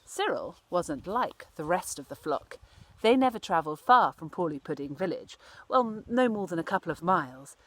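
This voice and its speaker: A woman narrating a children's storybook as its author, with an animated, dramatic delivery. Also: on the low side, moderate pace. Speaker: author reading own children's book.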